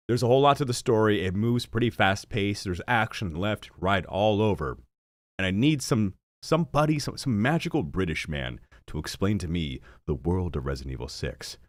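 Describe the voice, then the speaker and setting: A young man with a deep voice that swings widely, chatting on a live stream. He rambles and mocks himself, and he sounds stuffy from a blocked nose and hiccups. The recording is close and dry. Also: no echo. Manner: rambling, self-mocking, animated with sudden jumps in pitch. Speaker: streamer and gaming channel host. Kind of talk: casual live-stream chat.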